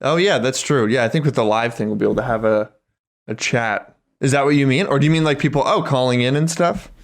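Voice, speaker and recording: A man's voice: mid-range voice, quick pace. A man co-hosting a podcast in an easygoing, agreeable, casual way. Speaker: podcast co-host. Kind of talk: casual chat.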